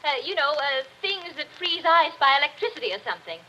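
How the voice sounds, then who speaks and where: An actress playing a nervous, weary middle-aged wife who speaks in a brittle way in an old radio drama. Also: female voice, sits high, very animated, fast talker, pushed and bright, exaggerated voice. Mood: strained. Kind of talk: 1940s radio acting. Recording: dull old radio recording with faint hiss.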